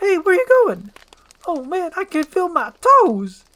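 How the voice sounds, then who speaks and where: A reader voicing an excitable, chatty, whiny male talking donkey in a fairy-tale script. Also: extremely high pitch, a little slow, voice pushed up, heavily acted. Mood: panicked, whiny.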